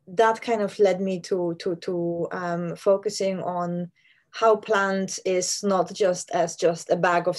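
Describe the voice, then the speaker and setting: A woman, a scientist explaining her research in a virtual interview, hesitant with many fillers. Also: on the low side. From Poland. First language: Polish. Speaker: plant scientist guest. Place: home room with plants. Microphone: video call.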